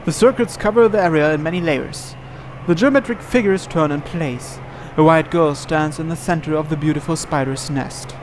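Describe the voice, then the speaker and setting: A man's voice: fairly high pitch, normal speed, background noise. A man reading visual novel narration aloud dramatically, as a let's player.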